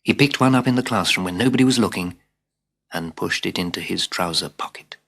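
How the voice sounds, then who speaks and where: A man narrating a scripted children's story as a dramatic, expressive storyteller who drops into hushed asides. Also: deep voice, fairly quick, gravelly. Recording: hissy old cassette tape.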